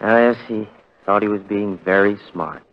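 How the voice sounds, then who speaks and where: A man acting as a condemned man who tells his tale in a folksy, rueful way. His low voice is chatty and a little hesitant. It comes through a dull, muffled 1940s radio recording. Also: steady tone, moderate pace, close to an everyday voice. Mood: rueful, confiding. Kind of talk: 1940s radio drama, folksy first-person storytelling.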